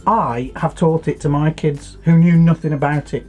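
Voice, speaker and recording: British male co-host, middle-aged, mellow tone, slightly high pitch, casual chat, music behind. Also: steady tone, moderate pace.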